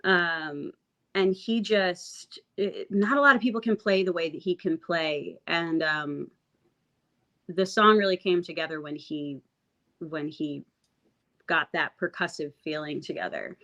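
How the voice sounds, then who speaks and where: Raspy, lower-pitched woman, musician guest, reflective and rambling, dull lo-fi sound. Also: unhurried, bright, forceful tone. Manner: reflective, rambling with many fillers and restarts. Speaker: singer-songwriter guest. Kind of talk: casual interview chat. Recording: dull lo-fi audio.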